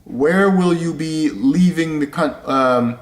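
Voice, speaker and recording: A young man from Indonesia, explaining things step by step in a casual vlog, talking slowly and often repeating or correcting himself. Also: fairly high pitch. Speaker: vlogger. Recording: echoey room.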